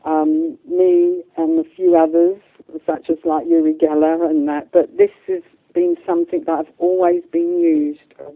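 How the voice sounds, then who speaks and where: A woman's voice: deep voice, fairly even intonation, a little slow, no room sound. Older Englishwoman on a phone-like line. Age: middle-aged or older. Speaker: spiritual medium, interview guest. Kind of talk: conversational life storytelling in a radio interview. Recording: thin phone-like band-limited line.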